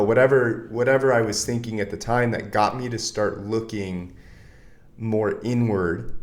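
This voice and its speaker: A man who coaches other men, telling his story as an interview guest in an earnest, candid way. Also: on the low side. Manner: earnest, candid, animated bursts.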